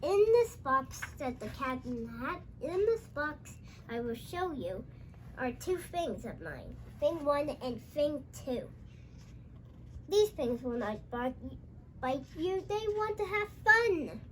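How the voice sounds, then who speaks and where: A child host retelling a storybook aloud, halting and repeating phrases, with exclaimed dialogue. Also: high voice, sing-song, fairly bright tone, slight background noise, poor audio.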